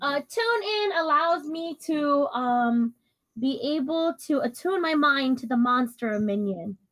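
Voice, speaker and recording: A woman player in a tabletop game with a very high voice. She talks casually, as someone explaining things to the others in the game. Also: lively intonation, a little slow, fairly bright tone, poor audio.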